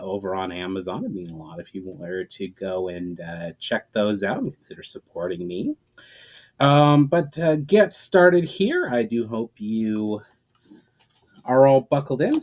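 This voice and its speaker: Male author-narrator, mid-pitched voice, reading his own fantasy fiction as a dramatic storytime. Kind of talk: dramatic reading of a fantasy novel, with informal introduction.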